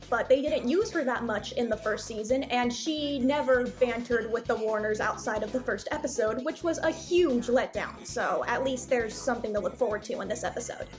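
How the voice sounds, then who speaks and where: A woman giving conversational, opinionated commentary as a video reviewer, openly exasperated as she reacts to what she watches. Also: sits high. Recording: voice-over with background music. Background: music.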